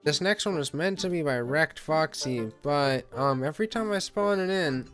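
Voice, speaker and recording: A man's voice: fairly high pitch, animated, moderate pace, fairly bright tone. Offhand man rambling over background music. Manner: rambling, offhand, self-deprecating; casually sweary. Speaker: gaming video host. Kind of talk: casual gameplay commentary.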